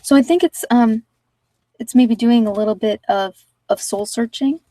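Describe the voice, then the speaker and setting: A woman's voice: a little high, normal speed, warm tone, no echo, distant mic. A woman talking in a casual, conversational way as she hosts an informal tarot card reading. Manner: conversational, informal, anecdotal asides.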